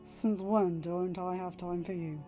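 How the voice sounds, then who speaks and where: A woman voicing a tender, calm, resolute warrior husband in a story reading, earnest and reassuring. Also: lowish voice, unhurried, soft background music, lightly acted. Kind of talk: dramatised audiobook reading.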